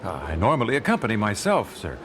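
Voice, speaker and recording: An actor playing an earnest, courteous gospel singer in an audio drama, polite and modest. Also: male voice, fairly low pitch, huge pitch swings, fast talker, background noise. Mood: polite, hesitant. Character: earnest, courteous male gospel singer telling his story. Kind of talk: audio drama acting with reminiscing narration.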